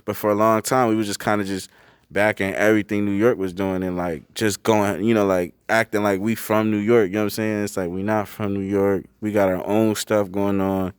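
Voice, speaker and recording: A young rapper from Connecticut talking quickly and earnestly as a podcast guest, often adding "you know what I'm saying". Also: male voice, on the low side, flat delivery, smooth, dry recording, studio quality. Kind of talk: casual interview talk.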